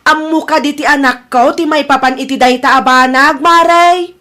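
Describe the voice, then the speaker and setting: A woman's voice: high voice, steady tone, moderate pace, pushed and bright. A woman with an Ilocano Filipino accent playing a concerned older village mother in an audio drama.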